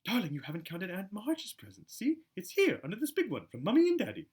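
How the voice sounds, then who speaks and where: A man voicing a shrill, bossy middle-aged aunt in an audiobook reading of a children's story. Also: huge pitch swings, fast, a little mellow. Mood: coaxing, doting. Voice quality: shrill, screechy. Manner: barking orders, scolding. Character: shrill, bossy, snappish middle-aged aunt who dotes on her son.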